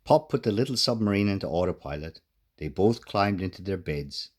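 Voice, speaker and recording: Deep-voiced man narrating a children's story. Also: expressive, brisk. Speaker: author reading own children's book.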